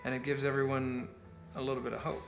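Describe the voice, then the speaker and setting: A man's voice: medium pitch, monotone, fast, vocal fry, lightly acted. An actor playing a rambling, fatalistic party guest who holds forth philosophically in a naturalistic film monologue, building his argument step by step in a conversational lecture. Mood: somber, musing. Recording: roomy film dialogue with music behind. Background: music.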